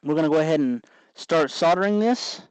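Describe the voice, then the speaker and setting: A man hosting a casual hands-on tutorial, explaining in a halting way as he works. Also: on the high side, smooth, no echo, poor audio. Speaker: host of a DIY electronics tutorial.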